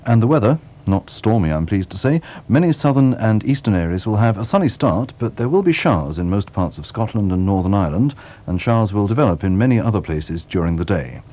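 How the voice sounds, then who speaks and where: A British man with a low, mellow voice delivers television continuity announcements. His intonation moves freely while he stays measured and courteous, with a touch of dry wit. It sounds like a 1980s broadcast. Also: big pitch swings. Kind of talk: scripted closedown announcements and weather.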